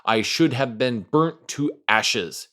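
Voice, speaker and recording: Man as a dramatic, animated lump of coal. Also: medium pitch, takes it slowly. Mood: dramatic, relieved. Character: impetuous female lump of coal, dramatic survivor. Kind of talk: storybook character voice.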